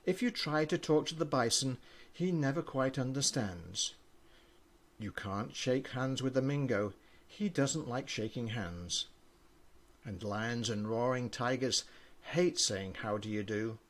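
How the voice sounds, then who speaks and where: Older man, grandfather reader, expressive storybook delivery, medium pitch, children's verse. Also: measured pace. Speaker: grandfather reading stories to grandchildren. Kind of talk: reading children's poems aloud.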